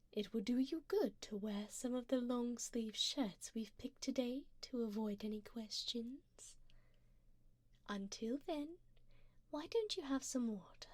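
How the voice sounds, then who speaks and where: Seductive, doting vampire benefactress, played by a woman for ASMR roleplay: very slow, husky whispers, slightly high, genteel and intimate. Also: a little mellow, no room sound. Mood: soothing, caring. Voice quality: breathy, mostly whispered. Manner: slow, tender, genteel endearments. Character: doting, seductive wealthy female vampire sugar mommy. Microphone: close binaural microphone.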